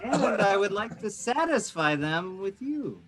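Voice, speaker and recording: A male actor plays a scheming period nobleman with a high, swooping voice. He is solicitous and coaxing in a theatrical way, feigning friendship, with music behind him on a home call recording. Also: very animated, a little slow, fairly bright tone, heavily acted. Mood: feigned doubt. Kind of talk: theatrical period drama acting over video call.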